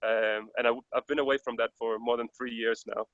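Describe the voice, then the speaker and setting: An earnest man with a Danish accent reflects on his travels in English, often restarting his sentences. His voice is bright and forceful, and it sounds dry and a little dull over a video call line. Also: medium pitch, level tone, brisk, dry recording. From Denmark. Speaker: traveler guest.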